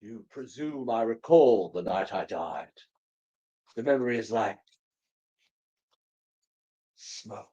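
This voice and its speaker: A man's voice: fairly low pitch, animated, slow pace, pauses often, dark tone, lo-fi sound, pitched below the performer's own voice. A man playing a brooding, melodramatic Victorian ghost in a live romance reading, formal and portentous. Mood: brooding, ominous.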